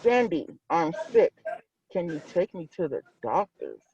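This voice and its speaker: A man's voice: a little high, huge pitch swings, unhurried, creaky, muffled recording, noisy background, exaggerated voice. A man voicing a sick, pleading cartoon sponge from a storybook, plaintive and protesting. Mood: pleading, sad.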